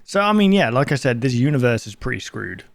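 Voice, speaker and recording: A man's voice: mid-range voice, sing-song, a little fast, gravelly, no echo. A man giving casual, rambling, off-the-cuff reaction commentary as a video host, often repeating himself.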